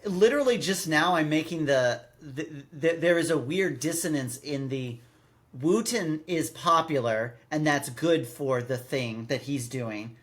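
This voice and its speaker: A man with a slightly raised pitch talks as a podcast co-host. He chats informally, restarting and repeating words as he works through a recap. His delivery rambles, with exasperated little asides. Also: measured pace. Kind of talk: casual chat, recapping and critiquing a radio drama. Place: recorded in person with co-host.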